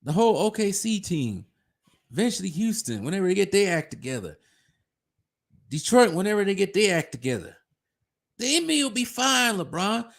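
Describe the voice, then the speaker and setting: A man giving a mocking, scornful basketball rant, his voice going hoarse. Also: high-pitched, sing-song, normal speed, pauses often. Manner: mocking, scornful, pleading in jest. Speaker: sports commentator. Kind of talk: ranting basketball commentary.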